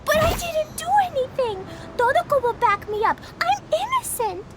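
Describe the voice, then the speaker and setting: A woman voicing an excitable, cheerful little girl in a video game, eager and childlike. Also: very high voice. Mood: excited. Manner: eager, childlike, quick to protest innocence. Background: game music.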